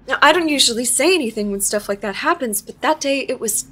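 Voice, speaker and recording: A woman's voice: sits high, lively intonation, fast talker, fairly bright tone. An actress playing a shaken, awed woman recounting her newfound magical power in a live audio drama. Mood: uneasy recounting. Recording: live theater stage recording.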